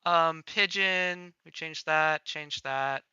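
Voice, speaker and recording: A man's voice: on the high side, slow pace, bright, forceful tone, smooth, no echo, dull audio. A man chatting casually as he works on a livestream demo, laid-back and half-muttering to himself. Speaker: game creator demonstrating on a livestream. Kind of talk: casual chat while working.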